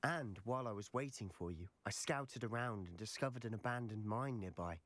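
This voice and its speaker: An actor playing an earnest, eager, troubled teenage schoolboy wizard in a video game. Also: male voice, fairly low pitch, a little fast, a bit bright, no room sound, close to an everyday voice. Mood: eager, conspiratorial. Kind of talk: video game dialogue acting.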